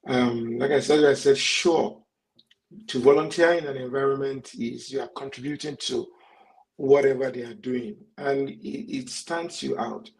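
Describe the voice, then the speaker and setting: A man with a gravelly, fry-edged voice hosts a career discussion. He affirms the guest warmly with short agreements before adding his own points. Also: medium pitch, measured pace, poor audio. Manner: affirming, frequent backchannels. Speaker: host. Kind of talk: panel moderation.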